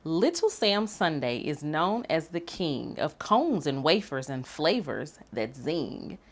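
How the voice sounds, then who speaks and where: A woman reading aloud and hosting for children, expressive and speaking directly to young listeners. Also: sits low, slow. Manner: animated, addressing young listeners. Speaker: children's story reader and activity host.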